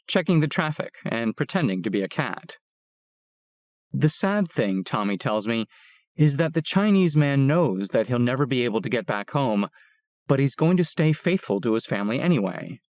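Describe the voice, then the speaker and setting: Steady male audiobook narrator, dry sound. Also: medium pitch, moderate pace, dry recording. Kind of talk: first-person audiobook narration.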